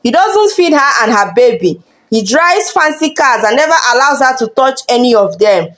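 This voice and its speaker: A woman's voice: sits high, sing-song, normal speed, a bit bright. A woman narrating a scripted dramatic folktale as a storyteller.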